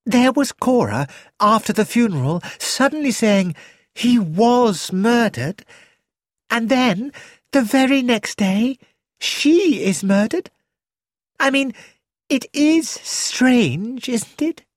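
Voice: medium pitch, expressive, takes it slowly, dry recording, pitched above the performer's own voice. A narrator voicing a flighty, childish young actress who exclaims eagerly about money, read in a close, dry studio recording. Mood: wondering.

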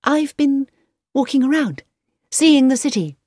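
A woman's voice: high voice, expressive, measured pace, darkish tone, no room sound, voice pushed up. An eager, well-mannered young woman on her travels, voiced by a woman narrator for an audiobook. Her speech moves up and down freely and sounds close and dry. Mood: polite, eager.

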